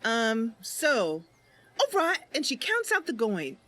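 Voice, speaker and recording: A woman's voice: fairly high pitch, wildly swooping pitch, takes it slowly, fairly bright tone. A woman streamer casually narrating a game roleplay.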